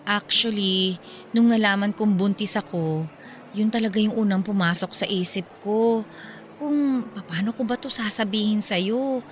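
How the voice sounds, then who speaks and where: An actress plays a bashful, soft-hearted young mother in a Filipino radio drama. She speaks hesitantly at a middle pitch, always considerate of her friend. There is faint noise and ambience behind her. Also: measured pace, pauses often, natural voice. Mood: apologetic.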